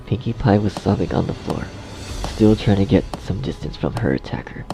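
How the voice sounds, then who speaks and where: A man reading scripted dark fiction as a narrator in a measured storytelling manner. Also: sits low, expressive, gravelly, music in the background.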